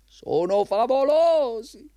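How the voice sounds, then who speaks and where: An actor playing an ingratiating, eager job applicant in a theatrical Italian radio drama, effusive and insistent in manner. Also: male voice, very high voice, animated, takes it slowly, warm tone, no echo, studio quality, heavily acted. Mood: rapturous.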